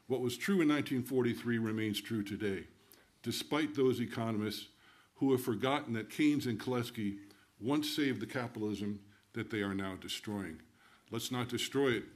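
Older male academic presenting at a conference, mid-range voice, a bit halting. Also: mid-range voice. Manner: loose, halting delivery with fillers. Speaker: conference speaker. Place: lecture hall.

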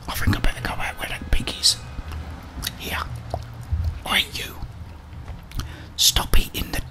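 A middle-aged British man whispering ASMR game commentary in short murmured reactions, close to the microphone. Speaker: gaming streamer.

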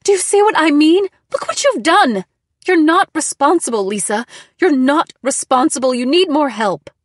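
Woman as a worried, insistent mother, raised pitch. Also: animated, a little slow, a little mellow, breathy edge, dry recording, studio quality, full character voice. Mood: horrified, scolding. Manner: questioning, insistent, scolding. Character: worried, skeptical, bossy middle-aged mother.

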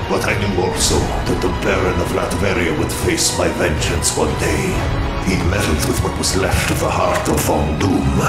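A man performs a proud supervillain with a very low voice, solemnly narrating his own saga in a motion comic. He is grandiose and deliberate, with loud music underneath. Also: close to an everyday voice. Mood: vengeful resolve. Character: proud, vengeful adult male supervillain recounting his quest. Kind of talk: dramatic first-person narration for a motion comic.

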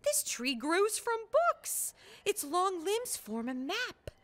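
A small young bear cub from a storybook, played by a woman reader. The voice is high and very lively in pitch. The cub is earnest and full of wonder, timid yet eager. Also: slow pace, exaggerated voice. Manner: wide-eyed, wondering, earnest.

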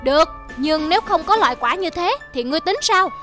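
Very high-pitched bossy cartoon monkey. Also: female voice, expressive, fairly quick. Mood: greedy, eager. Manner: haughty, blustering. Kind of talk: children's cartoon voice acting. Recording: music behind the voice.

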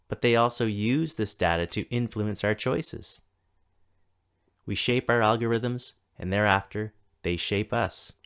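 Measured man reading a keynote. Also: lowish voice, clean tone. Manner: measured, deliberate reading with list-like pauses. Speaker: keynote speaker, tech coordinator. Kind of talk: scripted keynote talk. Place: home or office, recorded for a virtual conference.

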